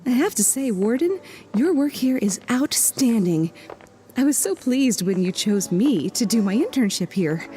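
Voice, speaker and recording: A woman's voice: fairly high pitch, very animated, measured pace, dark tone. A young woman playing an eager, polite, slightly hesitant psychiatric intern in an audio drama.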